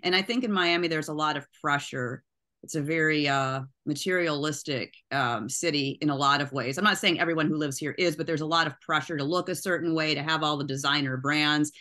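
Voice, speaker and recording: Nasal Midwestern woman, bright voice. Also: low-pitched, brisk. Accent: Midwestern American. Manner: chatty, tags lines with "right?". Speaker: poet, guest. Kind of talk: casual interview chat.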